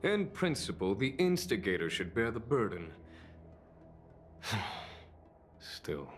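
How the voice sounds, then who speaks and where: An actor playing a composed, courteous military general who speaks in a measured, dryly amused way. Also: male voice, fairly low pitch, sing-song, soft background music. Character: calm, composed male general, courteous and wry. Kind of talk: game cutscene voice acting.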